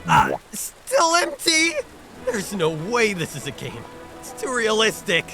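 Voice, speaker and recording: A high-voiced, clueless teenage boy played by a man in an anime parody. He rambles sarcastically to himself and swings wildly in pitch, with music playing behind him. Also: wildly swooping pitch, takes it slowly, exaggerated voice. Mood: bewildered, panicky. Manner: rambling, sarcastic self-talk. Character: clueless excitable teenage dropout boy in another world.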